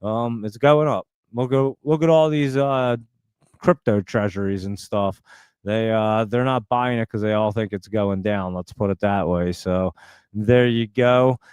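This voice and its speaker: A man's voice: lowish voice, measured pace, darkish tone, clear, resonant tone, no room sound. A man in his forties with a New Jersey accent, rambling casually as he hosts a live stream and answers viewer comments. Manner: rambling, chatty, lots of fillers and restarts. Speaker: livestream host.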